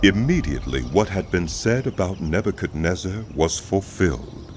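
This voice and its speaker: Deep-voiced male scripture narrator. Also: lively intonation, normal speed, slight background noise. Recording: loud music behind the voice.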